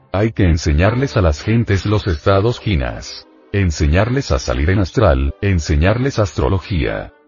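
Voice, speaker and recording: A man's voice: sits low, a little mellow. A man giving an informal lecture to his students as their spiritual teacher, insistent and admonishing, putting rhetorical questions to them. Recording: dull lo-fi recording with faint music.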